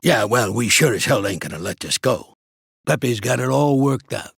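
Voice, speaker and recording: An actor voicing a shrewd, gruff old American mobster for a video game, streetwise and blunt, with a gravelly, husky voice. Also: male voice, medium pitch, lively intonation, normal speed, fairly bright tone, no echo, clean studio sound, exaggerated voice. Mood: blunt, scornful. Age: older. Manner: streetwise, commanding, blunt, slangy. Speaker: mobster mentor and boxing coach. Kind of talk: video game character acting.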